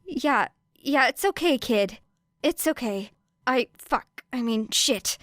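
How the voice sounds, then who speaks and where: A woman voicing an awkward male police officer, halting and unsure as he tries to reassure a child. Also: fairly high pitch, animated, a bit bright, no echo, clean studio sound, pitched above the performer's own voice. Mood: flustered, reassuring.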